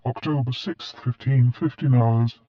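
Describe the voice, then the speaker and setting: A man's voice: mid-range voice, steady tone, unhurried, lightly acted. Lo-fi log machine voice, done by a man. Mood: impersonal. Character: impersonal log machine announcing dates and times. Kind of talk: clipped timestamp announcement. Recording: dull lo-fi audio, loud noise and beeps.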